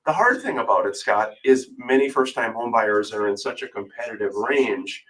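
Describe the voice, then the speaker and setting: A man's voice: mid-range voice, animated, normal speed, a bit bright, poor audio. Slightly froggy American male radio host. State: battling a cold. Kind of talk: live call-in real estate radio talk.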